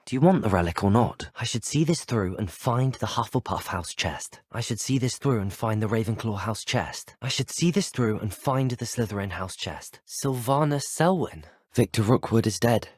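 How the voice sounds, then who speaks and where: An actor playing an earnest, brave, well-spoken teenage student wizard in a video game. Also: male voice, fairly low pitch, animated, moderate pace, hardly any pauses, husky, dry recording. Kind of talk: video game dialogue clips.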